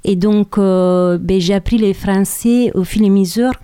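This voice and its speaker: A woman's voice: medium pitch, fairly even intonation, a little slow, dark tone, clean tone, dry recording, clean studio sound. A young woman from southern Italy, speaking French with an Italian accent, answers interview questions with frequent hesitations and repeated words. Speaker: guest. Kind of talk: radio interview.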